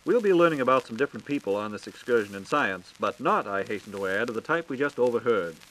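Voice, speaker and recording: A man's voice: medium pitch, lively intonation, fast, a bit bright. A man with an American accent hosting a scripted science program on 1940s radio. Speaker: radio host. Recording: faintly noisy 1940s radio recording.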